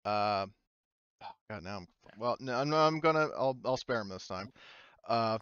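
A man's voice: fairly low pitch, expressive, normal speed, a bit bright, clear, resonant tone, dry recording, muffled recording. A man running an online tabletop game as game master, talking casually and hesitantly as he thinks aloud.

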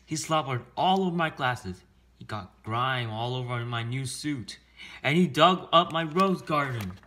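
A man's voice: mid-range voice, animated, slow pace, sharp tone. A man voicing an angry, self-important town mayor in a children's storybook read-aloud, blustering and pompous. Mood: outraged whining.

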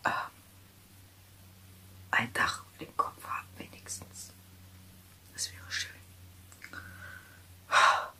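A middle-aged woman playing a weary, caring zombie apocalypse survivor in a whispered ASMR roleplay, breathy, hushed and intimate. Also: natural voice, rough recording. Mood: weary, worried. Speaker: ASMR performer.

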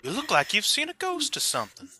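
A man's voice: very high-pitched, wildly swooping pitch, quick pace, crowd noise, full character voice. A man playing a hot-tempered, blunt and domineering husband with a brash, coarse manner in an amateur radio play. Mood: curious.

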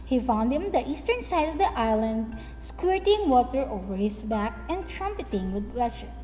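A woman teacher explaining a lesson and reading a story in a deliberate, instructive manner. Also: on the high side, very animated, warm tone. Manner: deliberate, instructive, with check-in questions. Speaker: English teacher. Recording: echoey hall-like room, faint music behind.

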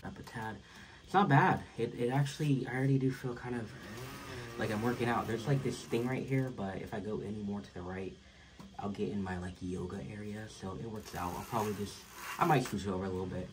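A man's voice: on the low side, a little fast. A young man in his twenties talks loosely to his camera while setting up a home gym, with lots of fillers and casual swearing. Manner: rambling, loose and cursing, with many fillers. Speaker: vlogger. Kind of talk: casual chat. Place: small home gym and room with colored lights, indoors. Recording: clicks and clear room noise.

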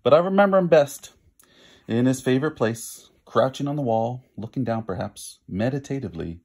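A man reading a storybook aloud, sometimes pausing to chat with listeners. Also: medium pitch, lively intonation, a little mellow. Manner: pauses to chat with listeners and explain words. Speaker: storybook reader. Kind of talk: animated read-aloud storytelling.

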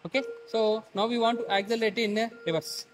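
A man's voice: high-pitched, fast talker, echoey room, faint music behind. A man in his thirties with an Indian English accent, demonstrating a scooter to a class and quizzing students with questions as he goes. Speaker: instructor. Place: large open workshop hall with students.

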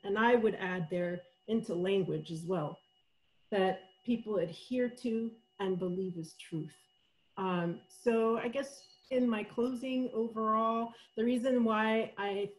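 A woman from El Salvador giving a college webinar talk over a video call, thoughtful and steady, with many fillers and frequent "right?" checks. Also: on the high side, rough recording. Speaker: college professor presenting survey findings. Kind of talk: webinar presentation.

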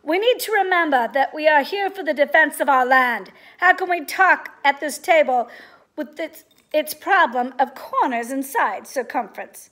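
A woman voicing a commanding, fair-minded storybook king with declamatory, measured proclamations to his knights. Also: sits high, animated, a bit bright. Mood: firm, calm.

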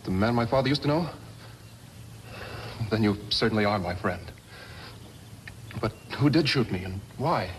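A man's voice: on the low side, animated, moderate pace, long pauses, natural voice. Man playing an earnest young engineer, noisy old radio. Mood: shaken. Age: young adult. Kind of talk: 1950s radio western acting.

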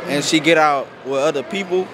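A man's voice: a little high, fairly quick, background noise. A young man answering street interview questions in a mall, slangy and hesitant. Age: young adult. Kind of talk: casual chat. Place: indoor shopping mall.

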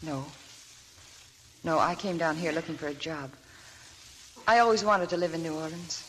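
An actress playing a weary, guarded young woman from Maine in a 1940s radio play, speaking softly and wistfully. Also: deep voice, moderate pace, slight background noise, low-quality recording. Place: radio studio.

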